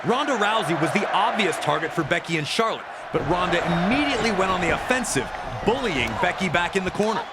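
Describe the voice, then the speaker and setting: A man's voice: sits high, animated, fast. A man giving emphatic, dramatic, scripted narration of wrestling matches as a commentator. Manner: emphatic, dramatic storytelling delivery. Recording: loud crowd noise behind the voice.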